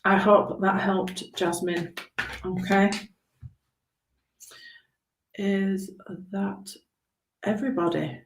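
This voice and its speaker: A woman giving a spontaneous live tarot reading in a halting, reassuring, conversational way, with a slightly hoarse voice. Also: medium pitch, level tone, a little hiss or hum. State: hoarse after long talking. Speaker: tarot reader hosting a live stream. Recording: roomy, echoing room sound.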